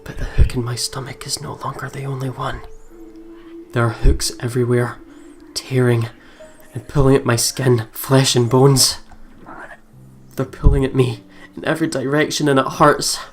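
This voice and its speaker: An excitable let's play host with a mid-pitched voice. They read a horror visual novel aloud and slip into casual, jumpy commentary with some swearing. Game music plays behind the voice. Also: normal speed.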